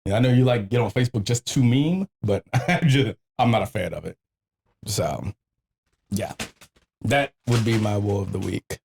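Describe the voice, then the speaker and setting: A man's voice: on the low side, expressive, moderate pace, creaky, darkish tone, slight background noise. Blunt, slangy man chatting casually. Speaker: podcast host. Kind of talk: casual chat, heavy swearing.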